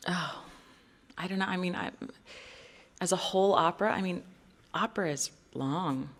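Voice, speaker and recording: A woman's voice: lowish voice, normal speed. A woman chatting casually as an interview guest, musing tentatively as she goes. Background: soft music.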